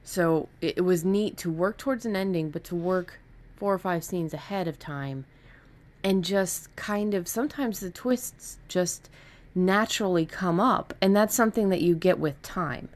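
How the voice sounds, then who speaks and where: A woman with a notably low voice chats casually as a podcast co-host and writer. She talks in a halting way, working out her thoughts aloud. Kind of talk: casual chat about writing.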